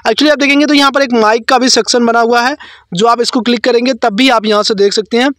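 Very high-pitched, fast-talking male tech host. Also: fairly even intonation, a bit bright, no room sound, clean studio sound. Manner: explanatory, mixes English words into speech. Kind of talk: informal screen-recorded phone tutorial narration.

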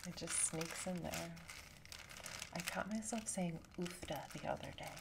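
A woman in her thirties from Montana with a light Upper Midwest accent, talking softly and partly whispering in a chatty, self-deprecating way for ASMR. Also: lowish voice, a little slow, vocal fry, heavy background noise. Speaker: ASMR creator. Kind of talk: soft-spoken ASMR rambling. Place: indoor room before a brick wall. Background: loud plastic bag crinkling.

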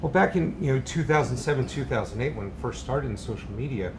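Man, middle pitch, reflective, conversational, studio panel, clear rumble. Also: expressive, fast. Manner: reflective, conversational, uses "you know". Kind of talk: panel discussion.

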